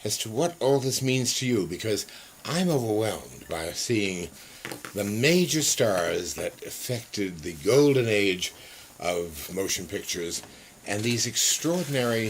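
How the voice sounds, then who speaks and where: A man's voice: on the low side, sing-song, a little slow. A mature man conducting a conversational interview, effusive and wordy, with circling phrases. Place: photographer's home studio office. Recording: noisy old interview tape.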